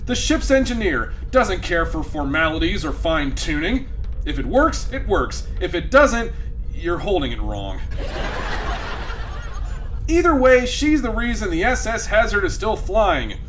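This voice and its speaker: A man's voice: high-pitched, very animated, measured pace, a bit bright, a little hiss or hum. A man narrating a comedy script in a dramatic, wry, theatrical way.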